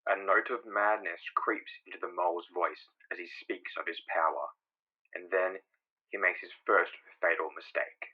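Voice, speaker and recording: A man's voice: deep voice, fairly even intonation, normal speed, slightly rough, phone-quality sound. A man reading dramatic comic-book narration as the narrator.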